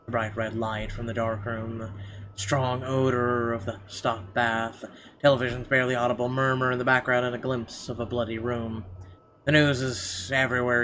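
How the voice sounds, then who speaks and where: A man's voice: medium pitch, a bit bright. A man reading a visual novel's story aloud as a gameplay host. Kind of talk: reading game narration aloud. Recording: clear background noise, game ambience.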